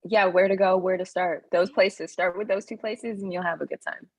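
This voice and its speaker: A young woman with a low, husky voice, talking freely as an interview guest. Her sentences wander and restart, and she laughs easily. The sound is close and dry. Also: moderate pace, no room sound. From Kenyan-American, raised in the US, Canada and South Africa. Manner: animated, laughs often, talks in loose run-on phrases. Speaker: guest, actor and writer. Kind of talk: casual interview chat.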